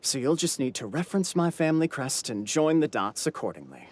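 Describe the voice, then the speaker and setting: A man's voice: medium pitch, sing-song, a little fast. An actor playing a pompous, wordy clansman proud of his ancestry in a video game, with music behind him. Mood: lecturing. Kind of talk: theatrical video game character acting.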